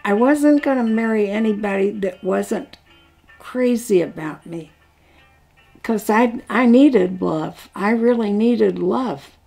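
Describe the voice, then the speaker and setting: Slow, creaky-voiced older woman. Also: mid-range voice, soft background music. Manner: warm, proud, gently wry. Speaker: interviewee. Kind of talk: reminiscing interview. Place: living room at home.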